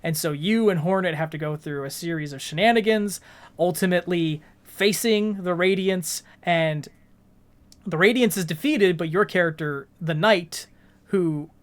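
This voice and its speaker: A man co-hosting a podcast, talking casually and explaining things in colloquial language. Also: on the high side. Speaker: podcast co-host. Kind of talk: casual video game discussion.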